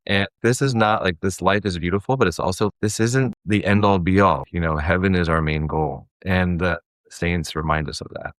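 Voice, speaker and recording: Low-voiced man, earnest and conversational. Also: normal speed, no echo. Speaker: podcast guest, audio drama creator. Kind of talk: casual interview conversation.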